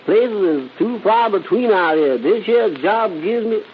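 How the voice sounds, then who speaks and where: A man's voice: sits high, animated, a little slow. A man playing a folksy, easily frightened street sweeper with a drawling Southern US accent in an old radio drama. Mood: folksy, grumbling. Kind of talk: 1930s radio acting. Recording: noisy, dull old radio recording.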